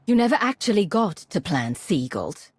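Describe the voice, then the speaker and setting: A woman's voice: mid-range voice, big pitch swings, moderate pace, very noisy, lightly acted. A woman voicing a serious commander in a video game, terse, skeptical and no-nonsense. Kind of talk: video game cutscene acting.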